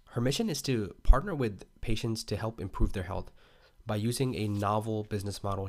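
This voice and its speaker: A man's voice: fairly low pitch, a little mellow. A male podcast host who reads a guest introduction and then asks loose, rambling questions full of fillers. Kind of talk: read intro, then casual interview chat.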